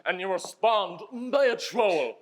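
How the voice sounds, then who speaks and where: A man's voice: animated, unhurried. A teenage boy on stage playing a vain, aging, theatrical actor in a declamatory comic style. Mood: scornful. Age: teens. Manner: declamatory, grandiose. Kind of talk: broad theatrical stage comedy. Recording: noisy high school stage recording.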